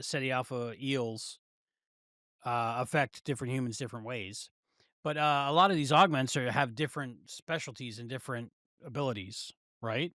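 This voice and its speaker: Bright-voiced man on a podcast. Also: medium pitch, no echo, clean studio sound. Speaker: podcast co-host. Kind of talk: casual review chat.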